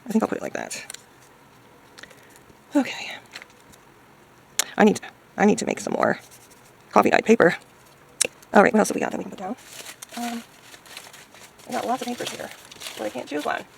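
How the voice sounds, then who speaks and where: A woman chatting casually as she crafts, thinking aloud hesitantly in a hoarse, gravelly voice. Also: on the high side, normal speed, long pauses, a little hiss or hum, poor audio. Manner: hesitant, musing to self. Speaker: craft video maker.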